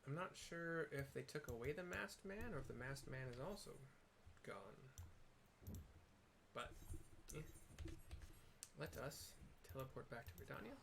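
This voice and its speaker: A man's voice: medium pitch, lively intonation, unhurried, vocal fry, pauses often. A man gives a casual running narration of a video game. He describes the action in an offhand, laid-back way. There is some noise in his recording. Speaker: gamer narrating story quests.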